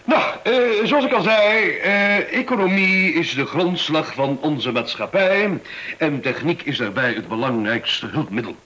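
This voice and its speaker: A man's voice: fairly high pitch, a little slow, pushed and bright, low-quality recording. A man acting in a Dutch radio play as a middle-aged school director, defensive and officious, hesitating and then lecturing. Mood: lecturing, self-assured. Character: defensive, self-important male school director pitching efficiency.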